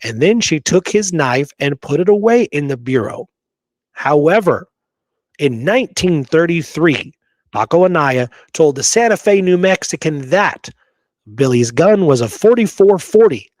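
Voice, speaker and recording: A man's voice: a little high, very animated, unhurried, darkish tone, no echo. A man hosting a conversational history discussion, speaking in an emphatic, opinionated way and repeating points to drive them home. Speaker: podcast host explaining frontier history.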